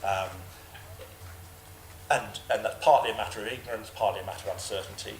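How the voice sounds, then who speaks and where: A man on an expert panel, answering questions in a halting way with many repeated words. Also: fairly low pitch, steady tone. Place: large parliamentary committee room. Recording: echoey room with background noise.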